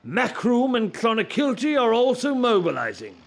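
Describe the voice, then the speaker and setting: Man acting a ruthless officer, high gravelly voice, stern, commanding, swooping pitch, radio drama. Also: huge pitch swings, moderate pace, heavily acted. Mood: brisk. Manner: stern, barking orders. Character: commanding, ruthless military officer briefing his soldiers.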